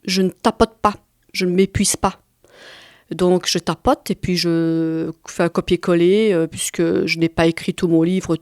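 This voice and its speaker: A woman's voice: lowish voice, steady tone, measured pace, a little mellow, dry recording, studio quality. A woman in her forties with a Belgian French accent, answering interview questions conversationally and hesitantly as she searches for words. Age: 40s. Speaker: guest author and artist.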